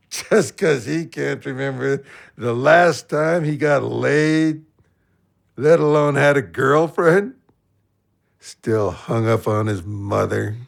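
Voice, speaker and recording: Slow, creaky, maudlin drunk. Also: male voice, medium pitch. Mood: wounded, grumbling. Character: Big Joe, heartbroken drunk man, slow, wounded, thoughtful.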